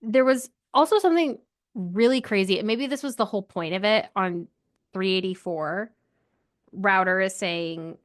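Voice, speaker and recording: A woman's voice: medium pitch, gravelly. A woman co-hosting a podcast, chatting casually about a book in an informal, opinionated and incredulous way. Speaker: book club podcast co-host. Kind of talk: casual book discussion chat.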